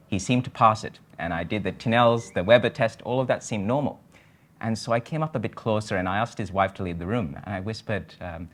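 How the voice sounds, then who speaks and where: A man giving a conference lecture on a medical topic, explaining in a measured way with frequent filler sounds. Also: on the low side.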